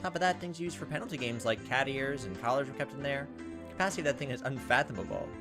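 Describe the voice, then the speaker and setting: Casual gameplay narrator, medium-pitched, reads story text aloud with offhand asides, game music underneath. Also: fast. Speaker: let's player reading a visual novel aloud.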